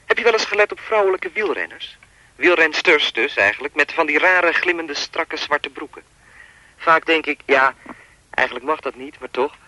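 A man's voice: fairly high pitch, sharp tone, rough recording. An actor playing a chatty, lewd and menacing anonymous male caller in a radio play, insinuating and mock-polite as he rambles. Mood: lewd, teasing.

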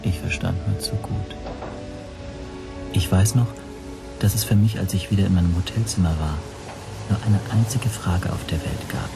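A man playing an anxious language teacher who narrates his ordeal in a radio drama, measured and introspective. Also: low voice, gravelly, over music. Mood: reflective.

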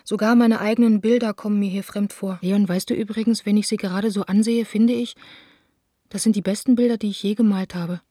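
Radio play: actress as young woman in love, impulsive yet hurt, plaintive, soft dark tone, dry and close. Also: medium pitch, fairly even intonation, brisk, dry recording, natural voice. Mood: hurt, longing. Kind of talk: radio drama letter reading.

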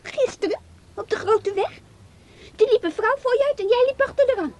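A woman's voice: extremely high pitch, lively intonation, fairly quick, a little mellow. A female performer in a radio play as a candid, jealous and insistent little girl.

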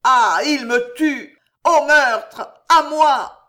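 A man's voice: sits high, slow, a bit bright. A reader voicing a young king gripped by paranoid terror, feverish, moving from tender confession to shrieking for his guards in an audiobook. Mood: raving. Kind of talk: audiobook character reading.

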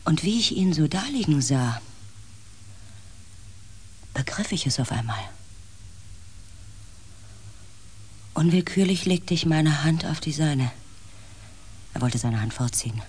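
An actor playing a compassionate narrator and protagonist in a science fiction radio play, gentle and reflective, over faint background noise. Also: male voice, a little high, lively intonation, measured pace, pauses often, husky, exaggerated voice. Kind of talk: radio drama narration and dialogue.

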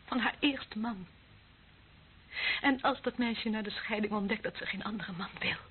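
A woman's voice: medium pitch, normal speed, lightly acted. An actress playing an embittered, lonely young policewoman in a radio drama, bitter and taunting, often dropping to a whisper. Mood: scornful, anguished. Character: embittered, lonely young policewoman, former death squad member. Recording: muffled phone-like band, clearly noisy old recording.

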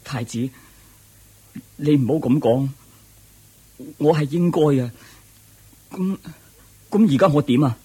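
An actor playing a devoted, anxious servant, pleading deferentially in a radio drama. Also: male voice, fairly high pitch, animated, takes it slowly, pauses often, dark tone, breathy edge, heavily acted. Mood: pleading, troubled. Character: devoted anxious male servant and charioteer to a prince. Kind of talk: Cantonese radio drama acting.

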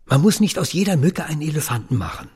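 An actor playing a confident, dismissive male office boss in a radio drama, with a husky voice. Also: fairly high pitch, sing-song, fast talker, a little mellow, full character voice. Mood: reassuring.

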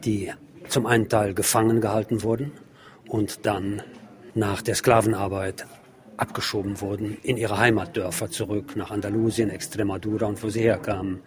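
A man with a fairly low voice narrates an exhibition report as a presenter. He explains things in a measured manner, choosing and placing his phrases deliberately. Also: little pitch movement, a crowd in the background.

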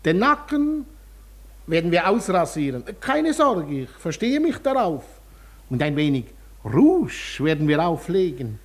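An actor playing an eager, flattering, fussily attentive hairdresser in a German radio play, effusive and obliging. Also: male voice, on the high side, unhurried, exaggerated voice. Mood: eager, reassuring.

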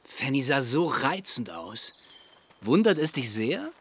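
An actor playing a charming, self-assured young gentleman in love in a radio play, speaking ardently and a little smugly. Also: medium pitch, very animated, takes it slowly, a little hiss or hum, full character voice. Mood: smitten, confiding. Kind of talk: German radio play acting.

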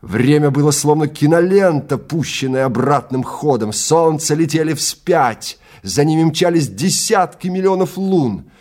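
A man narrating a science fiction story in a theatrical, deliberate style with weighty pauses. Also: a little high, animated, a little mellow. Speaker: narrator and reader of a dramatized story.

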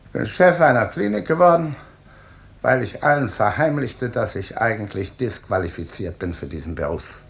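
An actor playing a stern, brooding, guilt-ridden professor and clinic chief in a 1950s German radio drama, speaking with emphatic authority. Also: male voice, low voice, gravelly, a little hiss or hum. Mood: bitter, agitated. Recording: early 1950s radio studio recording.

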